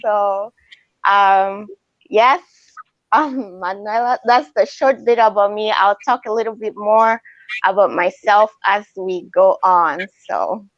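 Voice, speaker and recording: A woman in her thirties with a Ghanaian accent, a guest speaking calmly and softly over a video call. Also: medium pitch, slow, no echo. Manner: calm, soft-spoken, light laughter. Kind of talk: casual video interview. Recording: dry, dull video call audio.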